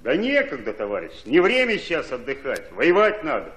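Humble soldier, hugely varied intonation. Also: male voice, medium pitch, huge pitch swings, fairly quick, fairly bright tone, low-quality recording. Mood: dogged, weary. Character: humble, dogged wounded Red Army private. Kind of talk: radio play acting.

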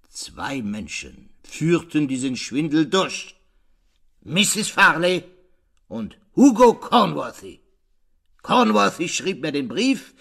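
An actor playing a shrewd, self-assured older male detective in a German audio drama, speaking deliberately and theatrically with rhetorical questions. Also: fairly high pitch, fairly bright tone, husky, full character voice. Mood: dramatic, accusing. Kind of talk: German radio play or audiobook acting.

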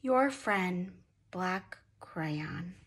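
A woman reading a children's storybook as an aggrieved crayon, theatrical and drawn-out. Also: fairly low pitch, lively intonation, fairly bright tone, husky. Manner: theatrical, drawn-out, dropping to whispers. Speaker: school staff member reading aloud.

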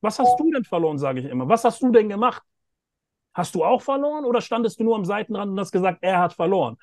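Male host, 30s, video call, fairly high voice, emphatic and repetitive. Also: expressive, fast, darkish tone, no echo. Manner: emphatic, repeats phrases for stress. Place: outdoors by a pool with greenery. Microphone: phone or laptop camera, video call.

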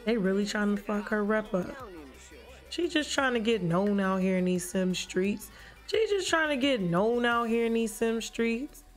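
A young woman livestreaming a life-sim game, chatting casually with her viewers in a lively, joking, profane way on a headset mic. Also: mid-range voice, measured pace. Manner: lively, joking, talks back to chat, profane slang. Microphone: headset mic at desk. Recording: game music playing behind.

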